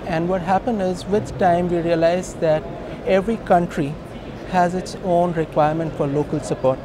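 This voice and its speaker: A man with an Indian accent and a slightly high voice answers questions as a company founder in an informal, emphatic way. He is recorded at an event with clear background noise. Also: steady tone, moderate pace. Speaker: company co-founder being interviewed. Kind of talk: conversational business interview. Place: busy hosting industry event. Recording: noisy event location, traffic-like ambient noise.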